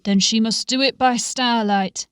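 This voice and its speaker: A woman's voice: a little high, fairly even intonation, measured pace, clean tone, no echo, natural voice. Harried male innkeeper, voiced by a woman, storybook character, blunt, curt, grudging, dry sound.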